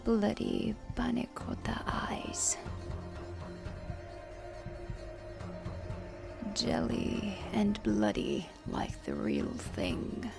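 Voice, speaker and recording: Woman as macabre vampire countess hosting ASMR cookery: genteel, very unhurried whisper, music underneath. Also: mid-range voice, huge pitch swings, exaggerated voice. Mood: sinister, coy. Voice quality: breathy whisper with creaky fry. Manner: slow, deliberate, genteel. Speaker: host. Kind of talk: ASMR recipe narration.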